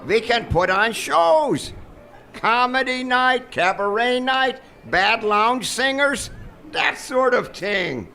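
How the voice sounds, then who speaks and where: A man playing a suave, ingratiating doctor and hotel owner in a live radio comedy, smooth-talking and emphatic. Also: extremely high pitch, expressive, takes it slowly, bright, forceful tone, a little hiss or hum, heavily acted. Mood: brisk, evasive. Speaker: actor.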